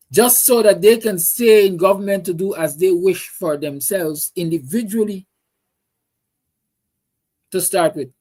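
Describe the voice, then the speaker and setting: A man's voice: sits high, a little mellow. A male talk show host with a Guyanese accent holds forth in a political monologue. He is emphatic and opinionated, driving points home with repeated phrases and rhetorical questions.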